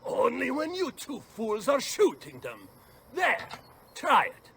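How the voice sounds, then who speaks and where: An actor playing a sarcastic, bossy cannon gunner in a video game, with an irritable, mocking manner. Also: male voice, extremely high pitch, huge pitch swings, slow pace, vocal fry, full character voice. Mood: irritated, mocking. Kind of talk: animated video game acting. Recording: loud music over the voice.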